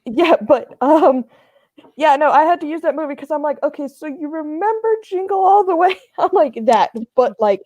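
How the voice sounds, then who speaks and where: A woman with a fairly high voice chats as a panelist. She is informal and emphatic, and the sound around her is dry and close. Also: animated, moderate pace, warm tone, no echo. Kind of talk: casual chat.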